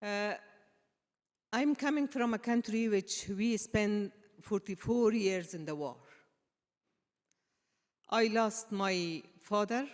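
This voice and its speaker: A woman journalist giving a dinner speech, halting, with many pauses and fillers. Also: mid-range voice. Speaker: journalist, guest speaker. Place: large banquet hall. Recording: echoey hall with long reverb.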